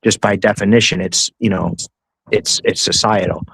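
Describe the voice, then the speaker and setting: Low-voiced blunt man on a panel. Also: steady tone, moderate pace, vocal fry, fairly bright tone, slightly rough, no echo, low-quality recording. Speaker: call-in panelist. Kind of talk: casual opinionated panel chat.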